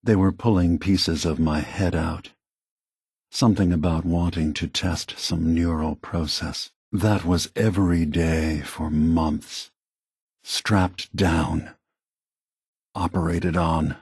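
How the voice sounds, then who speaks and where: An actor playing a gentle, weary, aged male synth leader in a video game, softly persuasive and deliberate, with a breathy, husky voice. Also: low-pitched, steady tone, dry recording, studio quality, heavily acted. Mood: calm, reassuring. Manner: measured, deliberate, philosophical, reassuring.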